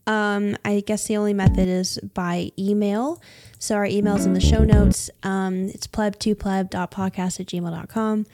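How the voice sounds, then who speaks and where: A woman's voice: medium pitch, flat delivery, moderate pace. A woman co-hosting a casual podcast chat who asks lots of questions and laughs often. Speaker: podcast co-host.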